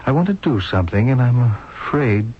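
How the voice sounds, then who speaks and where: An actor playing a grave, troubled doctor in a 1970s radio mystery, speaking in a somber, deliberate way. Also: male voice, mid-range voice, very animated, slightly rough. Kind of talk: 1970s radio drama acting. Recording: dull lo-fi old radio recording.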